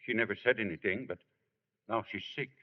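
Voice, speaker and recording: A man's voice: fairly low pitch, fairly quick, natural voice. Actor as worried older father, tinny radio. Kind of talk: 1940s radio acting. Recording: tinny old radio broadcast recording.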